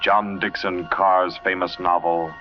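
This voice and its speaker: A man's voice: low voice, flat delivery, unhurried. A man announcing a 1940s radio drama in a grand, portentous, scripted style. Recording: dull vintage radio, loud music behind.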